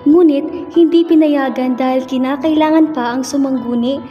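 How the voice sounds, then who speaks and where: A woman's voice: sits high, fairly even intonation, normal speed, highs cut off. A young woman with a Filipino accent reading scripted narration for a radio drama. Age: young adult. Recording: reverberant hall, music behind.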